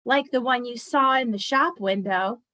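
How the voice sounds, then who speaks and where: A woman's voice: on the high side, normal speed, bright, forceful tone, dry recording. A woman voicing a young granddaughter in a storybook, curious and affectionate, speaking plainly and earnestly. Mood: sympathetic.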